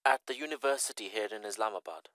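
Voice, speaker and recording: A man's voice: fairly low pitch, fast, a bit bright, no echo, natural voice. A man acting a polite, careful student making an enquiry in a scripted listening-test dialogue. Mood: polite, eager. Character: courteous eager male Pakistani student enquiring by phone.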